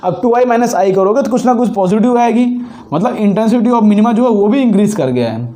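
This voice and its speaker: A man's voice: sits high, expressive, fairly quick, dark tone. A man with a sore throat teaching physics, working through exam problems step by step and repeating the key points. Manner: explains step by step, repeats key points, mixes Hindi and English. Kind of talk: solving exam problems on a board.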